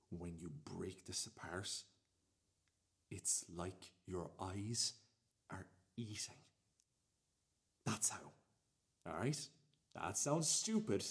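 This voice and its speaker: A man acting in a radio play as a cocky, sweary, smug storyteller who speaks in halting, repetitive phrases. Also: fairly low pitch, darkish tone. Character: cocky, sweary man smugly recounting a dinner date. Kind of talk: radio play dramatic monologue.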